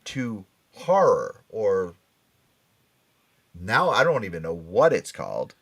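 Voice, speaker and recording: Animated American man hosting a chat show. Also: on the low side, slow, gravelly. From Norwalk, Connecticut, USA. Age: middle-aged or older. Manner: animated, emphatic, chatty. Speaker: radio and podcast show host. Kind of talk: casual pop culture chat.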